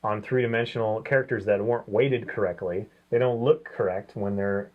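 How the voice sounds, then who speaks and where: A man's voice: lowish voice, steady tone, fairly quick, phone-quality sound. Casual man co-hosting a review show.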